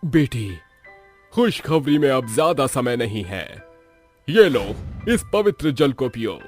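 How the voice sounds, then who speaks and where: A man's voice: medium pitch, very animated, darkish tone, faint music behind, voice pushed up. A man voicing a kindly, solemn old holy man in a cartoon story. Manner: slow, solemn, benevolent.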